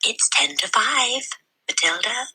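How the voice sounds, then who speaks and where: Woman voicing a breathy, swooping kindly librarian lady. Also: on the high side, expressive, slow pace, sharp tone, pitched above the performer's own voice, heavily acted, rough recording. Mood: gentle, indulgent. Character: kindly, concerned, astonished village librarian woman. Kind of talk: children's storybook read-aloud.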